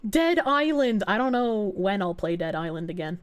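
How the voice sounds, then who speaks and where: A woman's voice: medium pitch, sing-song, normal speed, clear, resonant tone. A woman with a Boston accent streaming and chatting casually with her viewers, swearing freely and laughing a lot, while she is getting over a cold. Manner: laughs often, swears freely, rambles in tangents. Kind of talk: casual chat, reading and answering viewer chat.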